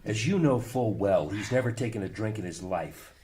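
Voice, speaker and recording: A man's voice: fairly low pitch, expressive, normal speed, darkish tone, husky. An actor playing an anxious, loyal man who worries for a troubled friend, earnest and agitated, in a radio drama. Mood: worried. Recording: noisy radio drama recording.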